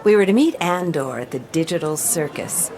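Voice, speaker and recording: A woman's voice: low voice, lively intonation, normal speed, crowd noise. A woman playing a tough, sardonic adventurer in a radio play, with a dry, skeptical and commanding manner. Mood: wry. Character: tough, sardonic female adventurer, bossy and blunt. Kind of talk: radio drama dialogue.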